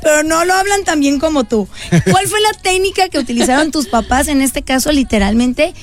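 A woman's voice: high voice, brisk. A female radio co-host asking a guest questions in an enthusiastic, friendly way. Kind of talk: morning radio show banter.

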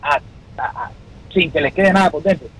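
Male phone-in caller, high-pitched, delivers political opinion bluntly and repeats for emphasis. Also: fairly quick, fairly bright tone, slightly rough, poor audio.

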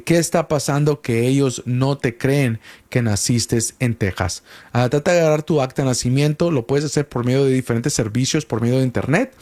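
A man's voice: mid-range voice, darkish tone. Man explaining as a call-in lawyer. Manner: explanatory, asks many questions, often restarts words. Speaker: immigration lawyer hosting a call-in show. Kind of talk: radio call-in legal advice.

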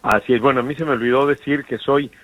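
Earnest Mexican-born radio co-host, dry studio sound. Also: male voice, mid-range voice, steady tone, fast talker, no echo, dull audio. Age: middle-aged. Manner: warm, rambling, earnest, with many fillers. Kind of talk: radio talk show conversation. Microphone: studio microphone.

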